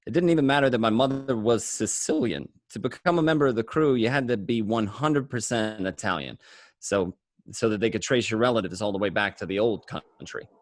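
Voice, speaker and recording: A man acting as an excitable mobster who tells his crime story conversationally, tripping over and repeating words. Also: lowish voice, fairly quick, no room sound, clean studio sound, close to an everyday voice. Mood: boastful. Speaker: amateur actor in an online radio play. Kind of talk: first-person dramatic monologue, conversational.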